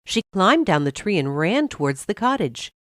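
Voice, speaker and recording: A woman narrating a scripted fairy tale for children, expressive and carefully phrased. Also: fairly low pitch, normal speed, no echo, studio quality. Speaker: storyteller.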